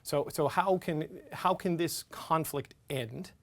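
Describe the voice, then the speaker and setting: A man's voice: on the high side, takes it slowly, gravelly. Pressing TV interviewer man. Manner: pressing questions, stumbling restarts. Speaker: TV news host. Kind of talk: political interview.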